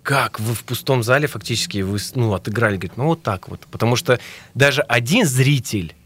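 A man from Armenia, a guest singer chatting casually and haltingly. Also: medium pitch, a bit bright. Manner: halting, laughs often. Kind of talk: casual interview chat.

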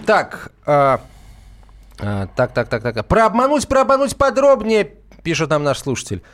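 Man, radio host, slightly high pitch, chatty, wry, hesitant, conversational live delivery. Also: sing-song, measured pace. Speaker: radio host of a legal advice call-in show. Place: radio studio. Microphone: studio microphone.

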